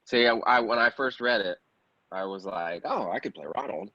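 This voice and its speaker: A man's voice: on the low side, moderate pace, bright, forceful tone. A man chatting casually and easily as an interview guest who works in acting and film. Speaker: guest actor and filmmaker. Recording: dull, roomy lo-fi audio.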